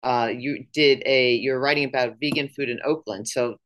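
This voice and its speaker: A woman's voice: very deep voice, normal speed. A woman radio DJ hosting an interview show in a studio. She talks in an easygoing, affirming way and leads the conversation.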